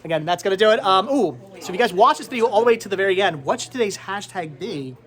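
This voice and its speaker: A man with a notably high voice hosts a casual vlog. He talks informally to his viewers, rambling and circling back over his own phrases. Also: expressive, quick pace, a bit bright. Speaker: vlog host. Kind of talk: casual chatty vlog to camera. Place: on location at a flea market.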